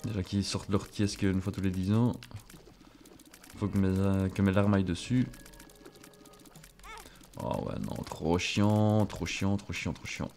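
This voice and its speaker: A man's voice: low-pitched, fairly even intonation, unhurried, long pauses, a little mellow, slight background noise. A French-speaking man casually commenting on his own gameplay, chatty and self-mocking, swearing under his breath. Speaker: gaming streamer. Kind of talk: casual let's play commentary.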